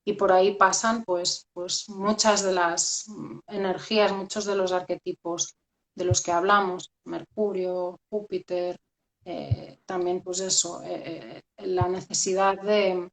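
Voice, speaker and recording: Flat-voiced woman musing on astrology. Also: lowish voice, slow, low-quality recording. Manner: hesitant, many fillers and restarts. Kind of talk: reflective conversational talk.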